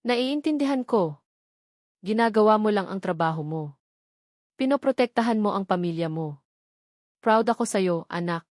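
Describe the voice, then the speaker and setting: A woman's voice: mid-range voice, lively intonation, moderate pace, pauses often, a little mellow, no room sound, close to an everyday voice. Woman as devoted father and suitor, earnest, tender, solemn, storybook drama, dry close sound.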